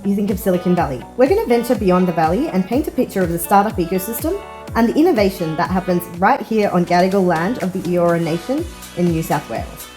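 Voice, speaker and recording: Woman host with soft music behind. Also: fairly low pitch, expressive. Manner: measured, reads sponsor copy. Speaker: podcast host. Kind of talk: scripted intro and interview questions.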